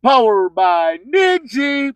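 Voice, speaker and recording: A man's voice: very high-pitched, big pitch swings, slow pace, clear, resonant tone, no room sound. A man hosting an online radio show, delivering hype announcements, slogans and sponsor reads emphatically, repeating phrases for effect.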